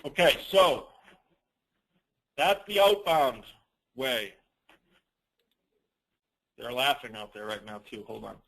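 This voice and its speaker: A man presenting a business training call as an informal, rambling software demo, with teasing, self-deprecating asides. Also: medium pitch, very animated, takes it slowly, gravelly, long pauses.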